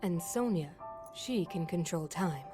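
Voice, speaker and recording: An actress playing a learned, scholarly non-human sage and older sister, explaining in a measured, thoughtful way. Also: female voice, on the low side, animated, music in the background. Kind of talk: game cutscene voice acting.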